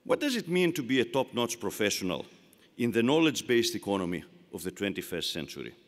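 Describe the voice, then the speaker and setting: A man's voice: lowish voice, sing-song, fast. An older man with a Greek accent giving an emphatic, rhetorical commencement speech. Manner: rhetorical, emphatic, repeats phrases for effect. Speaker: commencement speaker. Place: large arena. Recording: long arena reverb.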